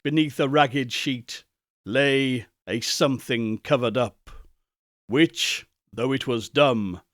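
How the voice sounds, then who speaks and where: A man narrating a Victorian ghost story in a solemn, deliberate and theatrical way. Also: mid-range voice, dry recording, clean studio sound. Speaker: audiobook narrator, reader.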